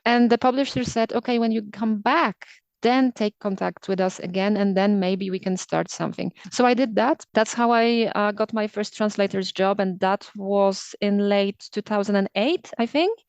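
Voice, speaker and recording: Woman, translator being interviewed; thoughtful, candid, mid pitch, dry. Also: normal speed, darkish tone, clean tone, dry recording. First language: Polish. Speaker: literary translator and scholar. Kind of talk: conversational interview answers.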